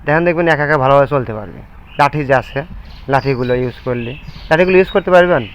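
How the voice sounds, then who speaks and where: A man with a Bangladeshi accent and an average-pitched voice presents an appeal on location. He speaks haltingly and often repeats himself. The sound is slightly muffled, with faint noise behind him. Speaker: interviewer presenting a charity appeal. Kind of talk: informal on-location interview and appeal. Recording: muffled low-fi audio, background noise, bleating animals.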